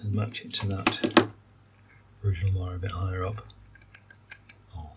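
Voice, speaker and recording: A man's voice: deep voice, level tone, moderate pace, very noisy. An older man musing through a hands-on repair of vintage gear at his workbench, talking technically with many ums and uhs. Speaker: electronics repair hobbyist. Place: workbench indoors.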